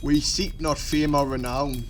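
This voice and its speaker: A man playing a proud, stern dwarf artisan in an audio drama, speaking in a deliberate, declamatory way. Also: on the high side, steady tone, a little hiss or hum, lightly acted. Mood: proud, dismissive.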